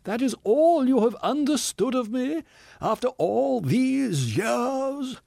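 A man's voice: very high voice, expressive, vocal fry, no echo, clean studio sound, pitched above the performer's own voice, exaggerated voice. A man doing a melodramatic self-pitying ghost. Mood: shouting. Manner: declaiming, shouting, drawn-out words. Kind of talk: audiobook character reading.

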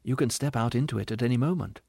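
A man voicing a kindly, patient spirit from heaven in an audiobook, gently and earnestly coaxing. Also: mid-range voice, quick pace, vocal fry, slightly rough, no echo, studio quality. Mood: earnest reassurance. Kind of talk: audiobook character voice.

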